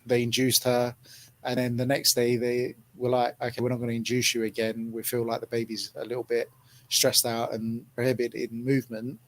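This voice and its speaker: A man hosts a casual podcast chat. He tells stories in a relaxed, chatty way and laughs often. His voice sits at a middling pitch. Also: fairly quick. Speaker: podcast host.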